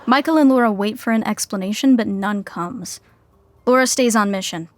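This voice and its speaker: A woman's voice: mid-range voice, normal speed, slight background noise. A woman giving wry scripted narration for a comedy story.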